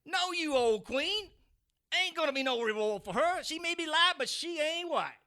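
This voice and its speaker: A man's voice: very high voice, lively intonation, normal speed, sharp tone, heavily acted. A man playing a crude, leering street bully in an audio drama, slangy and swaggering. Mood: curious, dismissive.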